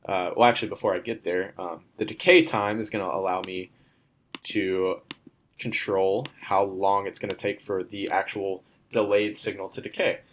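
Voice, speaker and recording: A young man, a student, walking through a demo of his software project in an informal, step-by-step way. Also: low voice, steady tone, moderate pace, a little hiss or hum. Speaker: student presenting a class project.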